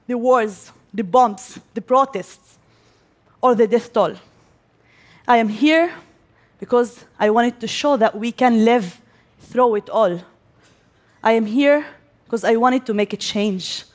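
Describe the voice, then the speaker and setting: Slow, deliberate young woman from Gaza. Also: a little high. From Gaza, Palestine. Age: young adult. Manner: slow and deliberate, with pauses between phrases. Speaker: speaker giving a talk. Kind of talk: prepared speech to an audience.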